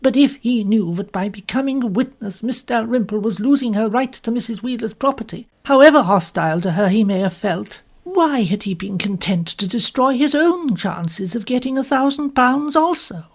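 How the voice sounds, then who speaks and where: A woman's voice: on the high side, expressive, normal speed, hardly any pauses. A woman reads a first-person short story as an audiobook narrator, in a prim, formal manner.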